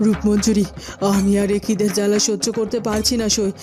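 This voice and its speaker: A woman plays a hungry, fed-up lady of a cartoon court. She complains and scolds plaintively in a mid-pitched voice over clearly audible music. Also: level tone, a little fast, lightly acted. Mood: wailing, reproachful. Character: queen's hungry, complaining female companion, fed up and pleading.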